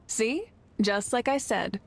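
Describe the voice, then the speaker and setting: A woman playing a composed, confident leader in a video game, speaking in a calm, assured, reassuring manner. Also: fairly high pitch, creaky, heavily acted. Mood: reassuring, amused.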